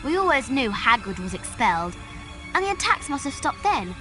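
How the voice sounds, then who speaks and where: A woman's voice: sits high, very animated, normal speed, music in the background. A woman playing an earnest, bookish young schoolgirl witch in a video game. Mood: thoughtful. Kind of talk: video game cutscene voice acting.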